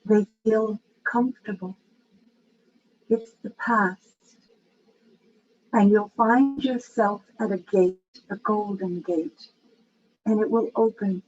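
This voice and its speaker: Female meditation guide, low-variation delivery, very slow and soothing, slightly dull recording with faint noise. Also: steady tone, a little mellow.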